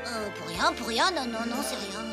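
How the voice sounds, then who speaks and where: A woman voicing an awkward, earnest schoolboy sidekick in an animated comedy, hesitant and naive. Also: a little high, normal speed, over loud music, close to an everyday voice. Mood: flustered. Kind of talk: animated comedy series acting.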